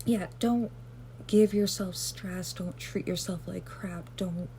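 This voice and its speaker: A woman vlogger rambling gently through casual self-care advice, with lots of fillers and self-deprecating asides. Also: fairly low pitch, fairly even intonation, slow pace, a little hiss or hum.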